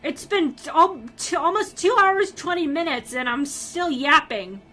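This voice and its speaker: A young woman reads a story aloud to her stream in a somewhat high, sharply bright voice. She keeps it animated and excitable, tripping over words and starting over as she goes. Soft music plays faintly behind her. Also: unhurried. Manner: animated, excitable delivery with frequent restarts.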